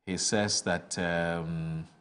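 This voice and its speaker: A man's voice: deep voice, steady tone, a bit bright. A man from northern Ghana with a Ghanaian English accent, hosting a morning TV show and reading viewers' messages aloud, with hesitant fillers and restarts. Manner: stumbling restarts and hesitant fillers between brisk read-outs. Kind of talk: reading listener texts on air, chatty asides. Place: television studio.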